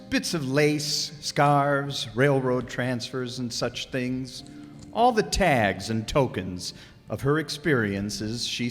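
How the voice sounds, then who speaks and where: Lively old-time radio announcer played by a man. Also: medium pitch. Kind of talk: 1950s radio announcing and scripted narration. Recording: reverberant hall with music behind.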